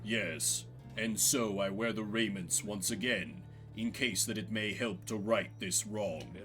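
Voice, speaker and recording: A man voicing a grave, wise elder rebel leader in a game, speaking in a measured, formal, solemn manner. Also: on the low side, a bit bright, faint music behind, voice pushed down.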